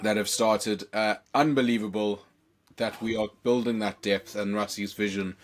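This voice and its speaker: Hoarse young South African male podcast host, excitable and rambling. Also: fairly low pitch, normal speed, a little hiss or hum. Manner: excitable, rambling, many fillers. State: hoarse from a chest infection. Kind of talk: casual podcast chat.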